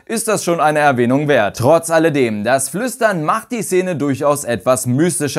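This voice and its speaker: A man in his thirties giving eloquent, scripted commentary to camera as the host of a ranking video. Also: fairly high pitch. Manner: eloquent, formal wording. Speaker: host of an audio drama ranking channel. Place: small furnished room indoors. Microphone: lapel mic.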